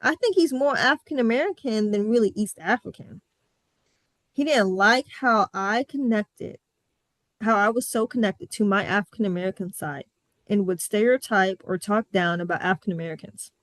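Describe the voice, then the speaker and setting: A woman's voice: mid-range voice, moderate pace, no echo. A young adult woman from the US Midwest hosting a podcast, telling personal stories in a candid, sassy way. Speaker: podcast host. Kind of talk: casual podcast chat.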